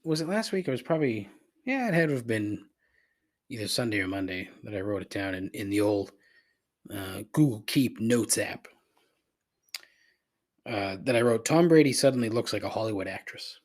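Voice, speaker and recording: A man's voice: lowish voice, expressive, normal speed, pauses often. A congested, slightly nasal man hosting a solo podcast, rambling and joking at his own expense on a cheap microphone in a cavernous, echoey room. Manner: rambling, self-deprecating, many fillers and restarts. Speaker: podcast host. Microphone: cheap desk microphone.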